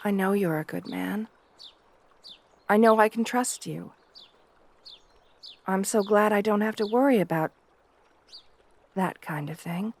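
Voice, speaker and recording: Woman acting a shy, slow-spoken young princess. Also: mid-range voice, creaky. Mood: earnest, anxious. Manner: soft, hesitant, deferential. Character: shy, gentle, devoted young princess bride, eager to please. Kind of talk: roleplay ASMR acting.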